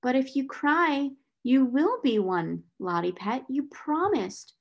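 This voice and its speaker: A woman voicing a motherly, self-controlled schoolgirl in a storybook, firm and steady. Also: a little high, moderate pace, lo-fi sound. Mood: coaxing.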